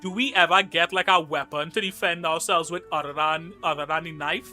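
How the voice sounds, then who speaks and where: A young man from Trinidad and Tobago with a Trinidadian accent chats loosely while playing a game on stream. His voice sits a little high and rings very bright. He jokes and reads viewer messages aloud over faint game music. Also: fairly even intonation, brisk. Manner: chatty, joking, reads chat aloud. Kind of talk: casual live-stream gaming chat.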